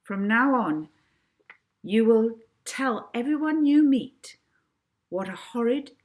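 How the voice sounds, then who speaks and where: A woman's voice: fairly high pitch, animated, slow, a little mellow, voice pushed up. A woman voicing a confident young girl stage hypnotist in a storybook, theatrical and commanding.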